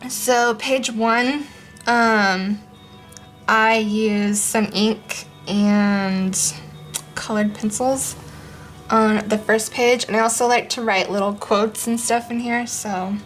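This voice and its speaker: A young woman chatting casually in her living room as a vlog host, rambling with lots of ums and a voice that is going hoarse. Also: fairly high pitch, fairly even intonation, slow pace, fairly bright tone, soft background music. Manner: rambling, many ums, laughs often. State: losing her voice. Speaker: art vlogger. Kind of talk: casual chat.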